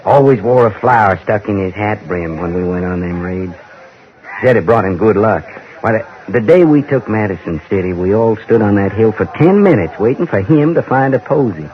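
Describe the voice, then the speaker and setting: A man playing a brooding, weary American ex-raider cowboy in a radio western, halting and rambling in a folksy drawl. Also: lowish voice, expressive, lightly acted. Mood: wistful reminiscing. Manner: halting, rambling, plain-spoken. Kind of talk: old-time radio western acting. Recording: dull vintage radio recording.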